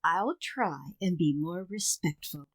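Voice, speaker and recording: A woman's voice: fairly low pitch, lively intonation, measured pace, dry recording. A woman playing a patient, dryly sarcastic housewife and mother in her thirties in an old-time radio sitcom. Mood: wry. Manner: dry, gently sarcastic.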